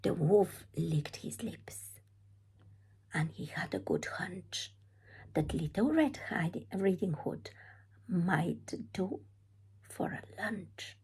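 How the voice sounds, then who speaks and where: A woman reading a rhyming children's storybook aloud as an expressive storytime narrator. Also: lowish voice, slow, long pauses, slightly rough, whispered. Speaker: storytime reader.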